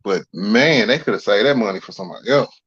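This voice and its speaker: A man's voice: fairly low pitch, lively intonation, quick pace, fairly bright tone, slightly rough, no room sound. American man, dull lo-fi sports chat. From St. Louis, Missouri, USA. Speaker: guest on a sports talk stream.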